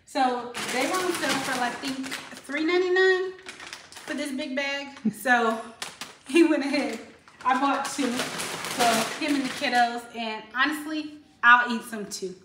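A woman's voice: high-pitched, measured pace. A woman in her thirties chatting casually to viewers in a grocery haul vlog, filmed in her home kitchen. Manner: chatty, addresses viewers directly. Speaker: vlogger. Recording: echoey kitchen with faint noise.

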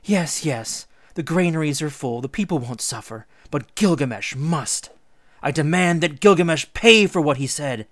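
A spoilt, vengeful goddess from a myth , played by a man, pitched up and petulant. She demands and threatens in furious outbursts, recorded close and dry. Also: lively intonation, normal speed, a bit bright, dry recording. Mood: imperious demand.

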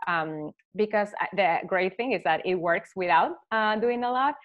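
A woman's voice: medium pitch, moderate pace. A woman in her thirties from Argentina, an agency owner asking a question on a video call, speaking hesitantly with many fillers and restarts. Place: home living room. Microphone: laptop webcam on a video call. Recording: dull lo-fi video call audio.

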